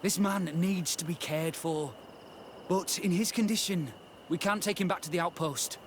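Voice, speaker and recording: An actor playing a dutiful army corporal in a video game, formal and deferential. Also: male voice, sits high, normal speed, slight background noise, close to an everyday voice. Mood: grim concern. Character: dutiful male army corporal, grim toward his enemies.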